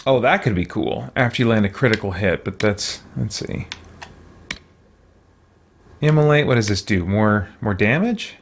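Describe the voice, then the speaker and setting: A man's voice: on the low side, lively intonation, normal speed, pauses often. A man giving casual game commentary, thinking aloud to himself in a musing, tentative way. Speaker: gamer hosting a let's play.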